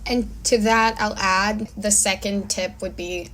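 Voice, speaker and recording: A woman's voice: mid-range voice, fairly even intonation, a little slow, a bit bright, rough recording. A woman speaking as a filmmaker guest in a casual interview, enthusiastic and informal, telling things through quoted dialogue.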